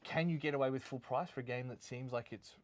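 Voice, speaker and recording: An Australian man hosting a video game review show in casual, unscripted chat, speaking haltingly and repeating words as he goes. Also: medium pitch.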